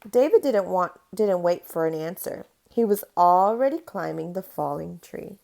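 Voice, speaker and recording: A woman's voice: lowish voice, lively intonation, moderate pace, creaky, mellow. Woman reading a children's story aloud. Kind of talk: read-aloud storytelling from a picture book.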